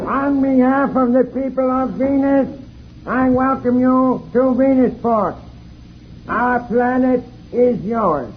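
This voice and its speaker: Formal Venusian dignitary, radio serial oratory. Unusually high pitch, faint hiss. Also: male voice, takes it slowly. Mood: solemn, formal. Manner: formal, solemn. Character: ceremonious Venusian official welcoming visitors. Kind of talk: old-time radio serial speech. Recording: tinny old radio recording, light hiss.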